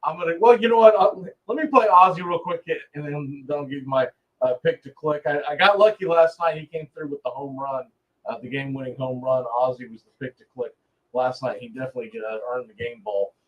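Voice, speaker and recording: A man hosting a casual live sports stream, speaking bluntly and opinionatedly in a halting, self-correcting way. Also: mid-range voice, no echo, muffled recording.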